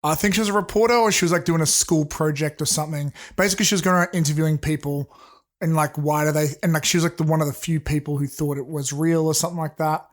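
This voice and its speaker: A man's voice: fairly high pitch, steady tone, fast. A man with a sore throat, co-hosting a casual podcast and talking in a rambling, hesitant way with filler words. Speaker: podcast co-host. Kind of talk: casual chat.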